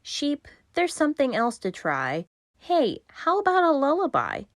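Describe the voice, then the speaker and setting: A sleepless little boy, played by a woman reader, pleads in exasperated rhyme from a children's storybook. The pitch jumps up, and the sound is dry. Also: huge pitch swings, unhurried, dry recording, full character voice.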